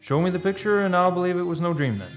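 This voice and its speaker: An actor playing a skeptical, cautioning friend steeped in old lore, speaking in folksy phrases in a radio play. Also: male voice, high-pitched, expressive, fast talker. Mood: dismissive, soothing. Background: music.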